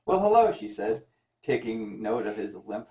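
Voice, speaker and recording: A man's voice: fairly low pitch, big pitch swings, moderate pace, a little mellow, distant mic. A man giving an amateur read-aloud of a novel, joking and teasing in asides and stumbling over words. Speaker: comic artist reading wife's novel aloud. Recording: roomy echo, away from the mic.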